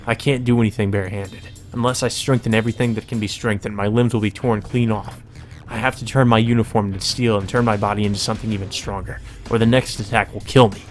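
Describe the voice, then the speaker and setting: A man's voice: lowish voice, fairly even intonation, fast, faint music behind. A man reading visual novel narration aloud as a let's play narrator, in a dramatic storytelling manner.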